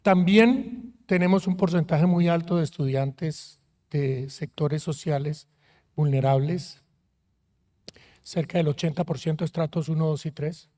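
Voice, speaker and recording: A man from Colombia, a university rector speaking on a conference panel in a friendly, measured way with frequent hesitations. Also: on the high side, dark tone. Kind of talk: panel talk. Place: conference auditorium.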